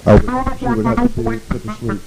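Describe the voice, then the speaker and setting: A hoarse man with a sore throat answering haltingly and quietly at a meeting, on an old archival tape. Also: sits high, sing-song, music in the background. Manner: halting, quiet, plain-spoken.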